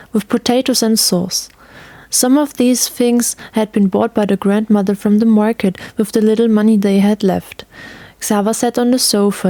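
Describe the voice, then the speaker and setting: Female story narrator: medium pitch, scripted storytelling, dry studio-like sound. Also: gravelly, dark tone, no echo.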